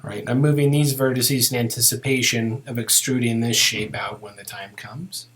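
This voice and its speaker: A man teaching software in a casual, unscripted way, thinking aloud as he walks through each step. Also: mid-range voice, fairly even intonation, normal speed. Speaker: software tutorial instructor. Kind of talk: narrated step-by-step screen tutorial, unscripted.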